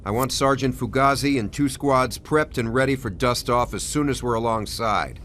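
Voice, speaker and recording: A man's voice: mid-range voice, a bit bright, a little hiss or hum, natural voice. A man playing a stern, principled navy commander who speaks formally and firmly in a military drama. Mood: controlled, stern. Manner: formal, firm, deliberate authority. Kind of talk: motion comic voice acting. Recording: dramatic music behind.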